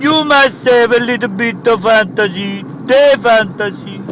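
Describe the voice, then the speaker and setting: An Italian-accented man in a comic sketch, hosting a mock interview in halting English packed with "uh". His voice sits fairly high. Loud street traffic runs behind him. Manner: hesitant, full of uh fillers.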